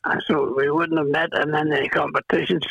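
An older man from Ireland with a cold, reminiscing as an interview guest, halting with many restarts and fillers. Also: on the high side, little pitch movement, vocal fry, fairly bright tone, husky, no echo, muffled recording. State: has a cold, clears throat. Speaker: retired golfer, guest.

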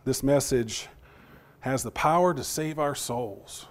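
Hoarse male preacher. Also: mid-range voice. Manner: halting, repeats words, asks the congregation questions. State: partly lost voice, recovering. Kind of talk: sermon.

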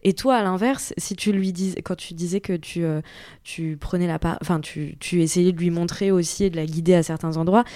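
Female interviewer; tentative, gentle hedging, low voice, dead-dry room. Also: steady tone, a little fast, dry recording. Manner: tentative, hedging, many restarts. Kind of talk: casual interview conversation.

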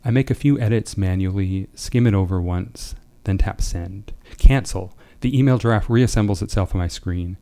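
Low, mellow male writer with gravelly edge. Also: moderate pace, hardly any pauses. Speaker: writer, guest reading own flash fiction. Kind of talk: literary reading, then casual discussion.

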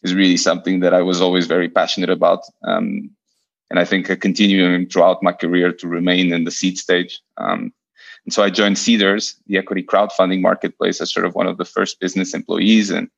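Podcast guest, male, 30s, from Portugal; deep, flat delivery, stop-start phrasing, lo-fi remote recording. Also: brisk, vocal fry. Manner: hesitant, many repeated starts. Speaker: guest, venture investor. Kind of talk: podcast interview.

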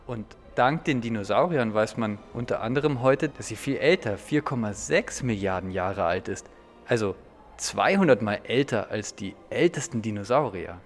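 A man's voice: fairly low pitch, lively intonation, moderate pace, big-room sound. An Austrian man co-hosting a podcast, talking through a book review in a thoughtful, slightly hesitant conversational way.

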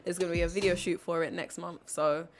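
A woman's voice: on the low side, flat delivery, fast talker, over music. A woman in her twenties, a singer, chatting easily as a guest in a radio interview. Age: 20s. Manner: giggly, easygoing. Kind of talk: casual interview chat. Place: radio studio.

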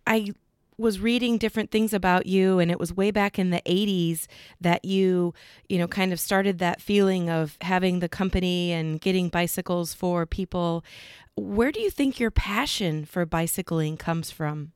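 A woman's voice: lowish voice, normal speed, darkish tone, smooth, no room sound, clean studio sound. A woman interviewer asking questions in a conversational style.

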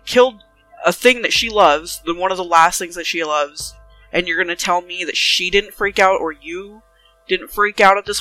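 A woman's voice: fairly low pitch, pushed and bright, poor audio. A woman playing a suspicious, no-nonsense detective in a tabletop roleplay, pressing firmly and insistently. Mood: accusing, forceful.

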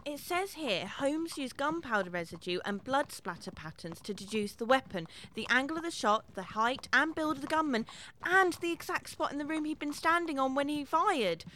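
A woman's voice: high-pitched, lively intonation, normal speed, sharp tone, slight background noise, low-quality recording. A woman playing an eager, polite young lady who is an amateur sleuth, in an audio comedy. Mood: earnest. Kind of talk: scripted audio comedy acting.

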